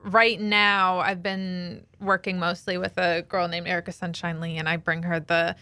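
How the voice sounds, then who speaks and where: A woman's voice: on the low side, flat delivery, moderate pace, bright, forceful tone, smooth, no room sound. A young woman from Maine, a country singer-songwriter, chatting casually in an interview with frequent laughs and fillers. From Maine, USA.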